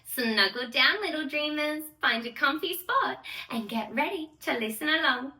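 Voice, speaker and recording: A woman playing a sweet, excitable young fairy who gushes in a sing-song way to little children as she hosts a bedtime story read-aloud. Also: high-pitched, normal speed, heavily acted. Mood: playful, excited. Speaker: children's storyteller and channel host. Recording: roomy echo, distant microphone.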